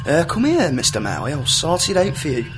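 A man's voice: on the high side, huge pitch swings, brisk. A man playing a cocky, crude, impulsive starship captain with a swaggering, blustering manner in a comedy radio play. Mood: bossy, flippant. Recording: music behind the voice.

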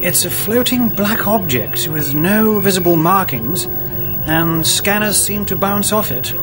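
A man playing a sober, cautious, literal-minded science officer in a comedy radio play, speaking in a measured, matter-of-fact way. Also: sits high, lively intonation. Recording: music behind the voice.

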